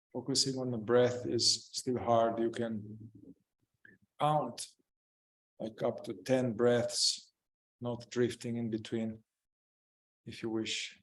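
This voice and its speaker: A man guiding a meditation in gentle, unhurried short phrases, improvising as he goes and leaving long pauses. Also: medium pitch. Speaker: meditation guide. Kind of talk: guided meditation, improvised spoken instruction.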